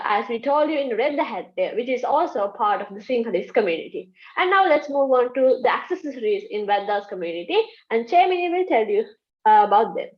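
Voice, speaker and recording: A woman presenting to a class in a casual way, with a few uh pauses. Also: a little high. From Sri Lanka. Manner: informal, with fillers like uh. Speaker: student presenter. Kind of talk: prepared presentation. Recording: muffled lo-fi audio.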